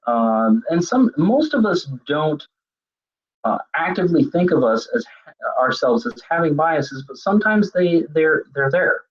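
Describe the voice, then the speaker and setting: A man's voice: a little high, very animated, measured pace, dull audio. A man presenting a web seminar on leadership, speaking conversationally with frequent fillers and self-corrections. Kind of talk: lecture on leadership communication.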